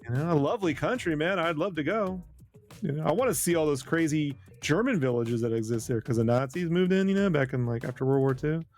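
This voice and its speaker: Gravelly, higher-pitched Southern Californian man. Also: animated, fairly quick, darkish tone, soft background music. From Southern California, United States. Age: middle-aged. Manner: slangy and profane, peppered with "dude" and "man". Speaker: host of a sketching show. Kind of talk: casual, joking banter.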